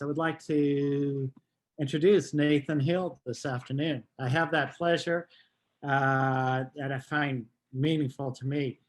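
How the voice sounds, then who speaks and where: A man, a minister, giving an informal, halting and deliberate introduction at an online workshop. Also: medium pitch. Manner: halting, deliberate, with frequent pauses. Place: online video call.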